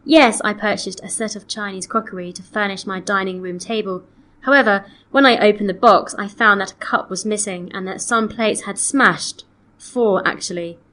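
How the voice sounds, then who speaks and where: A woman playing a polite customer on a complaint call, reading scripted dialogue in a measured, clearly enunciated way. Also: mid-range voice, fairly bright tone, lightly acted. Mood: polite, matter-of-fact. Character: polite woman customer phoning to report missing, damaged antiques. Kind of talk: scripted listening-test dialogue.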